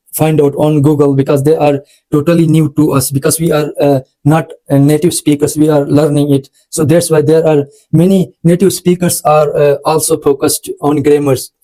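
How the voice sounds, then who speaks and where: A fairly high-pitched man with an Urdu accent gives informal advice on learning English. His voice is mellow and dark-toned, and he speaks in a level, flat way. He often stops short and fills the gaps with hesitant sounds. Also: poor audio. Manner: halting, full of hesitant fillers. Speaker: host of an English-learning channel.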